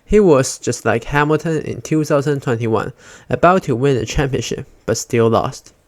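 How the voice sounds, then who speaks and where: A man delivers scripted fan commentary at a medium pitch. His phrasing is non-native, with small grammar slips. The sound is dry and close, with no room. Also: normal speed, darkish tone, dry recording. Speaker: video narrator, fan commentator. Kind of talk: scripted narration.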